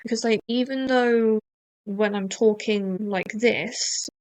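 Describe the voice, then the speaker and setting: A woman hosting a podcast, talking in a rambling, hesitant way with many fillers and restarts, and deliberately speaking a little slower than usual. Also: on the high side, steady tone, darkish tone, slight background noise, rough recording. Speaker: podcast host. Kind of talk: casual rambling monologue.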